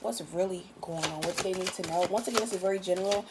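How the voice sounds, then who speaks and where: A tired woman with a raspy voice, giving a casual, chatty tarot reading in loose, swearing everyday talk. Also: fairly low pitch, fast talker, heavy background noise. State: just woke up, tired. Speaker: tarot reader.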